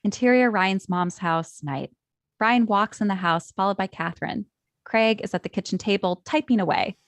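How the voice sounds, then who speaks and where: A woman's voice: mid-range voice, normal speed, dry recording. Dry-recorded woman narrator. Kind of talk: reading stage directions at a script table read.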